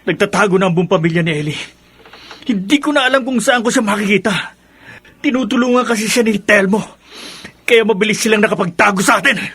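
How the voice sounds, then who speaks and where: An actor playing a vengeful, hot-tempered criminal on the run in a radio drama, menacing and raspy. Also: male voice, high-pitched, brisk, a bit bright. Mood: furious. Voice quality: raspy, gravelly. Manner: cursing, menacing.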